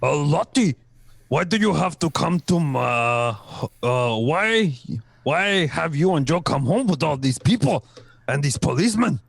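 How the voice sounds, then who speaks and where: A man playing a mild, confused elderly father in an old-time radio crime play, hesitant, with a creaky, husky voice. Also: on the high side, expressive, measured pace, slight background noise. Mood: bewildered. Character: bewildered, mild elderly father of a grown daughter.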